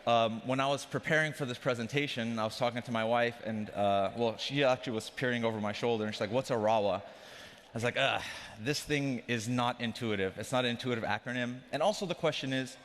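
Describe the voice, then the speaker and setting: A man's voice: mid-range voice, brisk, a bit bright, slight background noise. Joking male keynote speaker in a big hall. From Afghanistan. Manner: joking, conversational, addresses the crowd directly. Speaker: conference speaker, company CEO. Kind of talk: conference keynote talk. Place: large conference hall stage. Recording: long reverb of a large hall.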